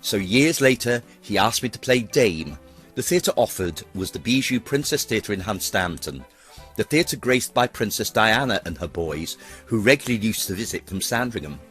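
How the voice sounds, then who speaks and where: British bloke, middle aged, low voice, telling his stage memoirs in a theatrical way, music playing behind. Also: animated, moderate pace, a bit bright. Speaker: pantomime performer narrating a career retrospective. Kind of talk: scripted autobiographical narration.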